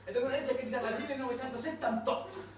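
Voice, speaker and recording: A man performing a satirical theatrical monologue with ironic, halting, dramatic delivery. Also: very high voice, recorded from a distance. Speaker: monologue actor and narrator. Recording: very echoey room, recorded from afar.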